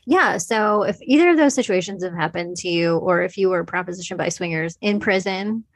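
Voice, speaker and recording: A woman's voice: fairly low pitch, fairly quick. A woman co-hosting a podcast, chatting in an informal, giggly and self-deprecating way. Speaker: podcast co-host. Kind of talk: casual chat.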